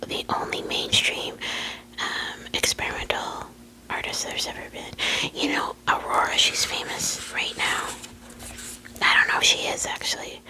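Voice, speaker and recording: A woman's voice: sharp tone, rough recording. A young woman whispering close to the mic, rambling, swearing freely, full of fillers. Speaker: vlogger. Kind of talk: whispered casual chat.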